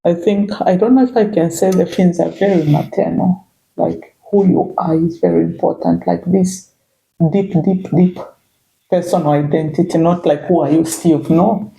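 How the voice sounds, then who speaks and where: Gravelly low-voiced Zambian woman. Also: fairly even intonation, moderate pace, warm tone. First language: Bemba. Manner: reflective, circling phrasing with frequent "you know". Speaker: guest. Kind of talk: casual interview chat. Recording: echoey room.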